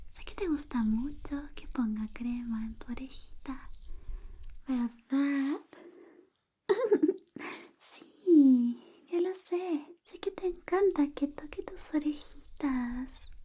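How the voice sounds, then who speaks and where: A woman playing an affectionate, teasing young best friend secretly in love, in a soft, breathy, whispered ASMR roleplay. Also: high-pitched, heavily acted. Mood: tender, caring, then playfully teasing. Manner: slow, coaxing, intimate, playfully teasing. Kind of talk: ASMR personal-attention roleplay.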